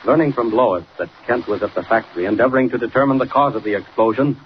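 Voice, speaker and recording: A man's voice: mid-range voice, fast talker. A man narrating a radio serial as its announcer, in a dramatic 1940s scripted style. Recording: muffled, noisy 1940 radio recording.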